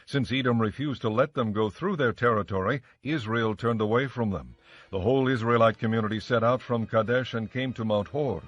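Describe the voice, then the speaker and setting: A man narrating scripture from a script in a solemn, measured way. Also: medium pitch, no room sound. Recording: slightly muffled studio track with music.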